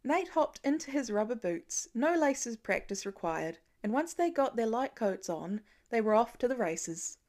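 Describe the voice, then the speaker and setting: A woman narrates a story with an expressive, animated delivery. Her voice sits fairly high and moves freely in pitch as she reads. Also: unhurried, hardly any pauses. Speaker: amateur audiobook reader.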